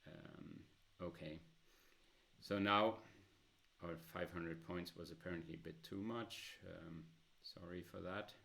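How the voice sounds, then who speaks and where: A man with a loud voice presenting a smartphone app in a casual demo, with many filler pauses. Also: sits low, steady tone, gravelly.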